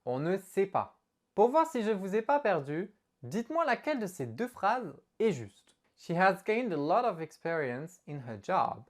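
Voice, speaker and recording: A woman teaching an online English lesson in an animated, expressive way as she explains to viewers. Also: mid-range voice, measured pace.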